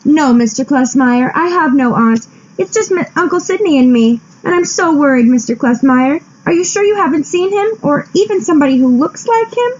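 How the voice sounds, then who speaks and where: An actress playing an anxious, coy damsel in distress in a comic radio play, halting and pleading, on a slightly dull recording. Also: female voice, high-pitched, animated, darkish tone.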